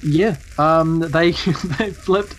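A woman's voice: low-pitched, very slow, low-quality recording. A woman, casually improvising the narration of a tabletop role-playing game as game master. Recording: clear steady sizzling background noise.

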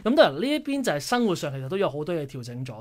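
A man's voice: fairly high pitch, big pitch swings, very fast, darkish tone. A man with a Hong Kong accent hosting a casual radio interview in a studio. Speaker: radio host. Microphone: desk studio microphone, worn mask.

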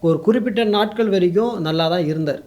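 A man's voice: high-pitched, fairly quick, a little mellow. A man with a Tamil Nadu accent giving opinionated interview answers as a guest commentator, mixing in English words. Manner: code-mixes English words into speech.

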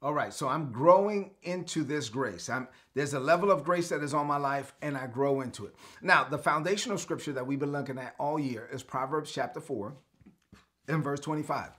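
A man's voice: on the high side, fairly quick, fairly bright tone. A middle-aged man from Brooklyn, a pastor giving a live-streamed daily sermon from a home studio, earnest and declarative, repeating phrases for his viewers to echo. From Brooklyn, New York. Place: home studio with lit shelves.

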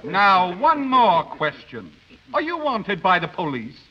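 High-voiced flustered announcer over noisy crowd. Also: male voice, huge pitch swings, takes it slowly, full character voice. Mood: officious, amused. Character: flustered, officious society party announcer. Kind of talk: 1930s radio comedy acting. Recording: muffled vintage radio, noisy crowd behind.

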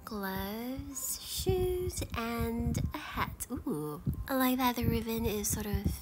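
A woman with a stuffy, blocked nose chatting casually as she previews game outfits, gushing over items and often dropping to a soft whisper. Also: a little high, expressive, slow, over music. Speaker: gaming YouTuber. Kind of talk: casual gacha preview commentary.

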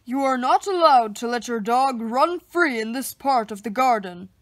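A woman voicing a stern male park guard in a children's storybook, brisk and scolding. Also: on the high side, animated, no echo, voice pushed down, lightly acted.

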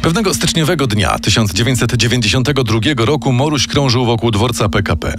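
A man reading a scripted true-crime story in a measured, dramatic storytelling manner. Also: medium pitch, animated. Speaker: narrator. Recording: music playing underneath.

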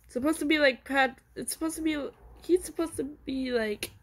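A woman giving a casual, halting show-and-tell at home into a handheld phone, often restarting her phrases. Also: high voice. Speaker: amateur video creator and character voicer. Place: room at home.

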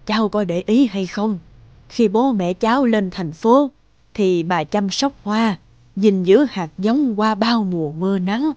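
A woman voicing a gentle, devoted grandmother who tells a family tale in a measured, tender cartoon storytelling voice. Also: medium pitch, animated, no room sound, highs cut off, lightly acted. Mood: gentle, nostalgic. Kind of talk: animated storytelling.

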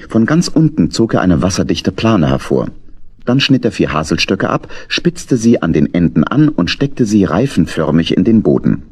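A man's voice: deep voice, a little mellow, slightly rough. A man narrating a scripted children's story as the narrator of an audio play.

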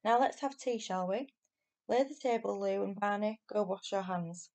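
A woman voicing a brisk, fond but scolding grandmother in a children's storybook reading. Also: mid-range voice, darkish tone, natural voice.

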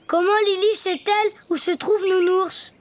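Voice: very high-pitched, fairly even intonation, normal speed. An actor voicing an eager, playful, imaginative little boy donkey in a children's cartoon. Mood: curious, cheerful. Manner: playful, exclaiming. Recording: music behind the voice.

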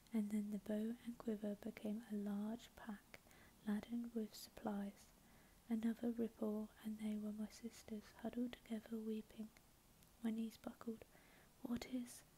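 A woman narrating a story in a steady, breathy ASMR whisper. Her voice sits a little high but stays mellow and level, with hardly any rise or fall. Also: slight background noise, rough recording. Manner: soft unvoiced whisper throughout. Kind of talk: whispered ASMR reading of a fantasy novel.

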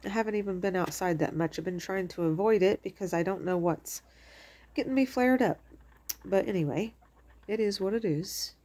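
A woman's voice: mid-range voice, normal speed, warm tone. A middle-aged woman with a slightly stuffy, congested voice, chatting casually through a home craft tutorial with lots of fillers. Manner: rambling and chatty, many fillers. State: stuffy from allergies. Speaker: crafting channel host. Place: home kitchen.

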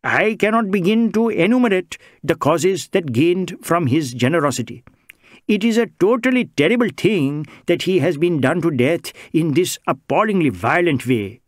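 A man's voice: a little high, lively intonation, normal speed, no echo, clean studio sound, pitched above the performer's own voice, lightly acted. A man voicing an anxious, wordy middle-aged male social worker, pleading and flustered. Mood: distressed.

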